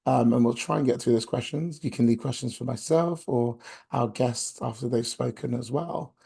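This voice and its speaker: A young man hosting an online webinar, his voice hoarse and gravelly from a chest infection. He talks in a friendly, halting way, padding his sentences with filler sounds. His tone is dark and husky, and he comes through a video call mic. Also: medium pitch. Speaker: outreach coordinator. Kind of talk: webinar presentation.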